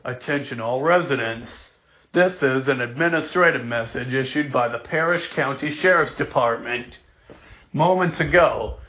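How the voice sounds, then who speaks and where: On a dull, lo-fi recording, a man delivers a mock emergency broadcast. His voice is forceful and a little high for a man. He speaks slowly and solemnly, as an announcer stressing each warning. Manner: solemn, deliberate, emphatic, stumbles and repeats words.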